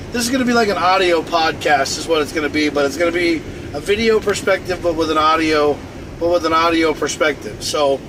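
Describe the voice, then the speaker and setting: A man in his forties from North Dakota, hosting a live stream in a rambling, repetitive casual monologue, often restarting and repeating phrases. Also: a little high. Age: 40s. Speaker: livestream host. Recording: noisy outdoor traffic behind. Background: car and street noise.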